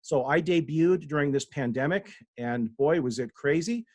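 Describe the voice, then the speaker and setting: A man's voice: a little high, normal speed, a little mellow, no room sound, clean studio sound. A man from Denver giving a humble, self-deprecating talk as a guest author over a video call, with many fillers and restarts. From Denver, USA. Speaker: guest speaker, author and professor.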